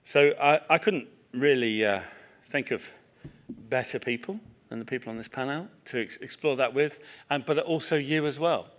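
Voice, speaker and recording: A man's voice: mid-range voice, normal speed, a bit bright. A middle-aged man chairing a festival panel in a small hall, chatty and self-deprecating with many fillers. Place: small event hall with audience.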